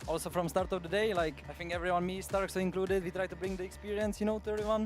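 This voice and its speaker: High-voiced male pro player. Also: flat delivery, fast talker, faint music behind. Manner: loose, laughing, leans on "you know". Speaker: pro player giving a post-match interview. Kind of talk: post-match stage interview. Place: on stage in an arena.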